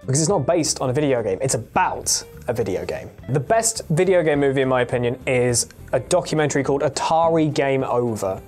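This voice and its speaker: A man's voice: medium pitch, expressive, a little fast, a little mellow, soft background music. A man telling a story casually as a panellist, explaining in earnest detail and often correcting himself. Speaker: panellist on a gaming show.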